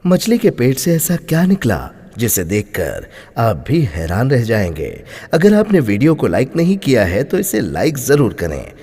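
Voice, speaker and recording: A man narrating a scripted moral story in a dramatic, expressive way. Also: medium pitch, normal speed, few pauses, breathy edge. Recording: long hall-like reverb.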